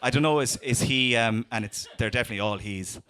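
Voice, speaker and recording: A man's voice: medium pitch, fast talker, very noisy. A young Irish man doing a stand-up comedy set, talking in a sardonic, conversational way with lots of fillers and restarts.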